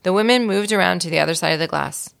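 A woman reading a children's story aloud in an expressive storytelling style, with a creaky voice. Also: fairly low pitch, fast talker. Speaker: volunteer reader of a children's book.

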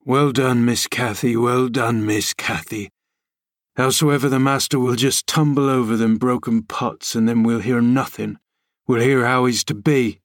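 A man's voice: medium pitch, steady tone, unhurried, no echo, clean studio sound, natural voice. A man reading aloud, voicing a sour, grumbling old male servant who scolds and mocks. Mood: scolding. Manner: scolding, mocking.

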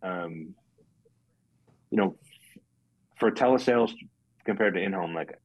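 A man, a life insurance agent, giving a guest interview in a plainspoken, monotone way and explaining step by step. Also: low voice, unhurried, dry recording, dull audio. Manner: monotone, says so himself. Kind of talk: casual interview talk.

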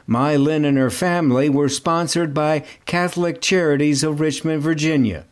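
A man reading scripted documentary narration in a measured, even way. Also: fairly high pitch, clean tone.

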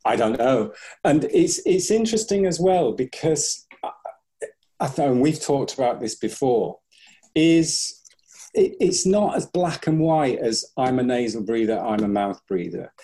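A man's voice: medium pitch, lively intonation, measured pace, dark tone. A man who works as a breathing coach, speaking in a podcast interview, thinking aloud, restarting his sentences and acting out what his clients say. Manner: thoughtful, restarts often, acts out clients' voices.

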